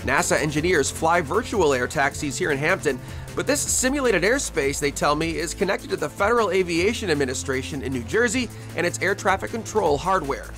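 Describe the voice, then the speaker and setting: A male TV reporter in his thirties delivers a scripted news package. His pitch is high for a man and swings in a lively way, with music faintly behind him. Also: a little fast.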